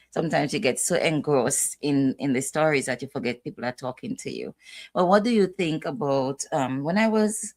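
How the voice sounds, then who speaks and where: A middle-aged woman hosting a casual family chat, talking in a rambling, chatty way with asides. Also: deep voice. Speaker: host, mother.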